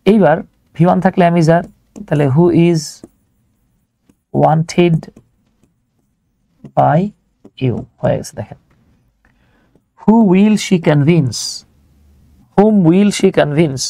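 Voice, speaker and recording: A Bangladeshi man speaks in a fairly high but mellow voice, recorded close and dry. He teaches grammar to online students informally, breaking things into steps. He often asks a question and then answers it himself. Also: very slow, creaky, long pauses, no echo, poor audio. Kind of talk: live online lesson, explaining step by step to students.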